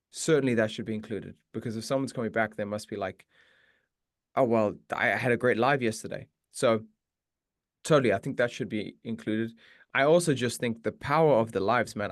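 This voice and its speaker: A man's voice: medium pitch, no room sound. Male host, live-stream Q and A, encouraging and chatty, dry close sound. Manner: chatty and encouraging, restarts often. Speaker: meditation teacher hosting a live Q and A. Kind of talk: casual live-stream chat answering questions.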